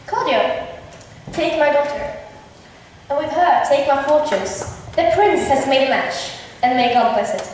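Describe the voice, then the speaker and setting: A kindly noble father played by a woman in a high voice speaks formally and with measure. The school stage performance is heard from far away amid strong reverberation. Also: measured pace. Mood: solemn, proud. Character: kindly older nobleman, a doting father and uncle. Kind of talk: amateur stage acting, school drama club. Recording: distant echoey hall, clear background noise.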